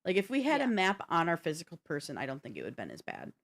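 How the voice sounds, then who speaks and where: A woman's voice: sits low, wildly swooping pitch, fast. A woman co-hosting a live stream in casual, unscripted chat, often thinking aloud and peppering her talk with "like". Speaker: podcast and live stream co-host.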